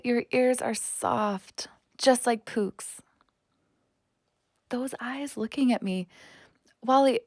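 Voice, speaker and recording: An earnest young woman with a wounded streak played by an actress in an audio play. The voice is fairly high and slow, friendly and confiding, very dry and close. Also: no echo, full character voice. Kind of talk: audio drama acting.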